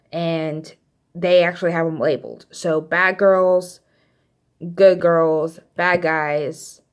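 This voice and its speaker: A young woman with a light Texas accent tells a personal story straight to her viewers. Her voice sits a little low, and she talks in a loose, rambling way full of "um" and "you know" asides. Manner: chatty, rambling, many fillers and asides. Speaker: vlogger. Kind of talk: casual storytelling.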